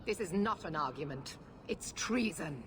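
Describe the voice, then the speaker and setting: An actress playing a stern, unyielding knight commander, with a commanding, severe and formal delivery in a fantasy game. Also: female voice, low-pitched, expressive, a little slow, noisy background. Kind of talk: dramatic fantasy video game acting.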